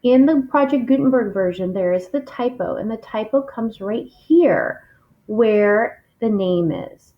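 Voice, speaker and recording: A woman's voice: on the high side, measured pace, muffled recording. A woman reading aloud expressively, in the style of a literary read-along.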